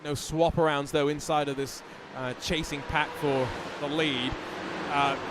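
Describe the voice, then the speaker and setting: High-voiced male race commentator, chatty play-by-play, over a noisy mix. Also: little pitch movement, a little slow. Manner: chatty, rambling with fillers. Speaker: sim racing commentator.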